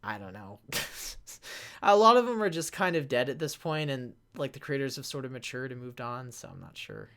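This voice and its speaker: Creaky-voiced man rambling through a casual Q&A. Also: mid-range voice, big pitch swings, fairly bright tone. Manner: halting, rambling, self-interrupting. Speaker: panelist, web series creator answering fan questions. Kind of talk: casual live Q&A, reading questions aloud.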